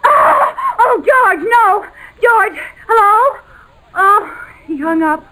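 An actress playing a frantic, scheming housewife in a 1940s radio comedy, exclaiming and melodramatic. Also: female voice, very high voice, animated, slow, pushed and bright, shouting, full character voice. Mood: distraught. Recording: noisy old 1940s radio recording.

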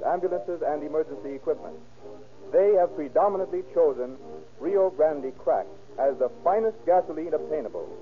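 Tinny 1930s American radio announcer, male. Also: on the high side. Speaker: announcer and narrator. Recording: tinny 1930s radio recording, music behind.

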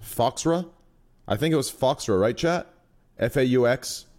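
A man's voice: medium pitch, animated, unhurried, a little mellow. A man in his twenties hosting a streaming chat, rambling and hesitant, and often turning to talk to his viewers. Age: 20s. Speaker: streamer, host.

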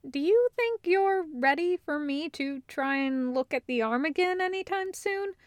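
Woman reading as hesitant, kindly graying man, lifted pitch. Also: expressive, measured pace, dry recording, studio quality. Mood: tentative. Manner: hesitant, considerate, filler-heavy. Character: kindly, tentative, graying male friend.

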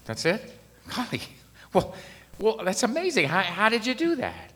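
Hesitant, nerdy gee-whiz fellow. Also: male voice, high voice, wildly swooping pitch, normal speed, a bit bright, slightly rough, exaggerated voice. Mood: bashful, flustered. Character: timid, awkward, nerdy, helpful male customer. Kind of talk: comic stage radio theatre. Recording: live theatre hall with long reverb.

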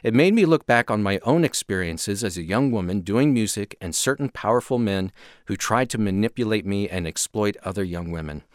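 Male music radio host, lowish register, close dry recording, talks conversationally. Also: no echo.

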